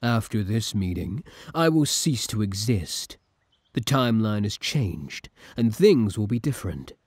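A man acts out a possessive villain from the future in an intimate audio roleplay. He speaks slowly and deliberately in a lowish, husky voice, commanding yet lovesick, recorded close and dry. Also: sing-song, darkish tone, no echo, exaggerated voice. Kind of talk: scripted ASMR roleplay acting.

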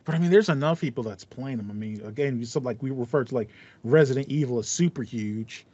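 A man's voice: medium pitch, quick pace, darkish tone, breathy edge, dry recording. A man in his thirties hosting a podcast in casual chat, thinking aloud and repeating phrases as he goes. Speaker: podcast host. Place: small home room with foam panels, indoors. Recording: dull, lo-fi voice call sound.

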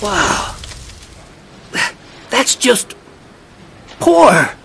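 An actor playing a flustered project scientist in a steampunk audio drama, halting and given to exclaiming. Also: medium pitch, sing-song, creaky, fairly bright tone, a little hiss or hum, full character voice. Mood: dismayed.

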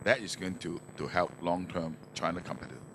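A man's voice: deep voice, fast. An older man explains business matters from a conference stage. His English has non-native phrasing and small grammatical slips. The handheld microphone recording carries faint background noise. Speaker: manufacturer speaking as conference panelist. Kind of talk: panel discussion, explaining business trends. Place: conference stage, indoors, before an audience. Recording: noisy handheld mic in conference hall.

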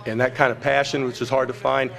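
A man's voice: medium pitch, little pitch movement, fast talker, creaky, fairly bright tone. A man in his fifties, a basketball coach speaking emphatically at an indoor press conference into a podium microphone.

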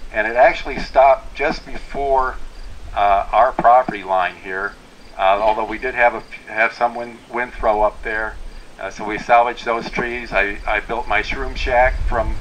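Middle-aged tree farm owner, handheld mic in the woods; easygoing, full of "uh"s and restarts. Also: male voice, medium pitch, a bit bright, poor audio. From Wisconsin. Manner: unhurried, plainspoken, many fillers and restarts. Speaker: tree farm owner giving a welcome talk. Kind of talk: informal outdoor talk to a tour group. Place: outdoors in a forest clearing. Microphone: handheld microphone.

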